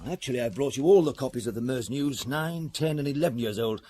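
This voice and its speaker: Husky, polite male archive clerk played by an actor. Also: medium pitch, expressive, fairly quick, mellow, lightly acted. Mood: helpful.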